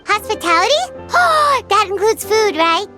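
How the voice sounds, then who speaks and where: A woman voicing a tiny, excitable, childlike companion in a video game, with a squeaky voice. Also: moderate pace, faint music behind, exaggerated voice. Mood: eager surprise. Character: tiny excitable childlike female floating companion, food-loving.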